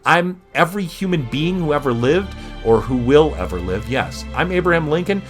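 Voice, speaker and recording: A man's voice: a little high, lively intonation, normal speed, close to an everyday voice. Man reading a bewildered, astonished soul, music behind. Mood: bewildered, incredulous. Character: bewildered newly dead person, astonished and questioning. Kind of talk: short story read aloud.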